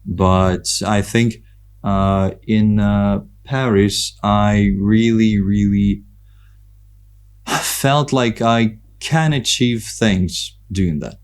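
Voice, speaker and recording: A man from Ukraine with a Ukrainian accent, talking casually and reflectively as a podcast guest, pausing often as he thinks. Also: on the low side, fairly even intonation, smooth. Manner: hesitant, reflective, with frequent pauses. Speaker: podcast guest, photographer and content creator. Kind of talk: casual conversational interview.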